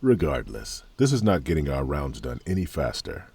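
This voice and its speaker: A man playing a gruff, teasing royal knight in a fantasy audio drama, with a gravelly, raspy voice. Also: very deep voice, big pitch swings, normal speed, a little mellow, full character voice. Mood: teasing, impatient.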